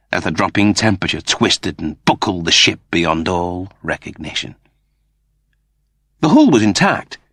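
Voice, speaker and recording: A male narrator tells a story with dramatic flair. His voice is fairly low and a little husky, with very lively intonation. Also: dry recording, studio quality. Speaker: audiobook narrator.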